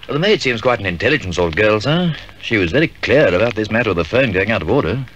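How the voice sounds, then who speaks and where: A man's voice: fairly low pitch, sing-song, fast talker, a bit bright. A man playing a diligent, deferential police sergeant in a 1950s radio drama, respectful and inquiring. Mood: dutiful. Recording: dull, hissy 1950s radio recording.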